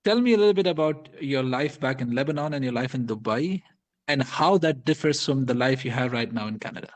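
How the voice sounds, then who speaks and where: A man's voice: medium pitch, expressive, a little fast. A man from the Middle East hosts a casual podcast interview in a mid-range voice. He talks in a chatty, playful way and laughs easily. He records on his smartphone. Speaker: podcast host.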